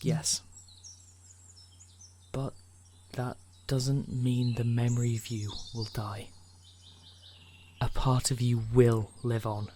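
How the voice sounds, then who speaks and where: Male actor as loyal supportive friend, unhurried delivery, mid pitch, radio drama, faint birdsong noise. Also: flat delivery, dark tone, natural voice. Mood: gentle reassurance. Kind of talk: naturalistic radio play acting. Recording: outdoor ambience with birdsong, noisy.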